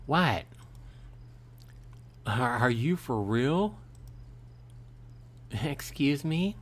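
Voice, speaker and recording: A man voicing a sharp-tongued, dismissive young woman who coos to her dog, from a visual novel game. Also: medium pitch, lively intonation, drawn-out words, voice pushed up. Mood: scornful.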